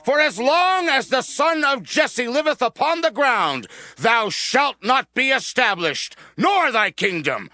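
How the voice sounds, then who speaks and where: Angry bible king, really high forceful voice, shouty and swooping. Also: big pitch swings, a little slow, few pauses, dry recording, soft background music, full character voice. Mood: enraged. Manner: shouting, declamatory. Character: wrathful, domineering king raging at his son. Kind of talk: dramatized scripture reading, archaic English.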